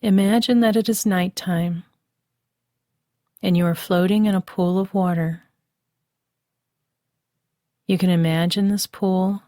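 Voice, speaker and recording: A woman leads a bedtime relaxation meditation in a soft, soothing voice. She speaks very slowly in a flat, even tone with a mellow, slightly low voice. The recording is dry and close, like a studio voice-over. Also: no room sound. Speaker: meditation guide.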